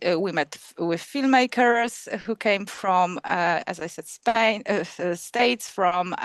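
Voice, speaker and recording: A woman with a Polish accent, talking as a guest about running a film festival, halting with many restarts and small laughs. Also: on the high side, no echo. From Poland. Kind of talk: casual interview chat.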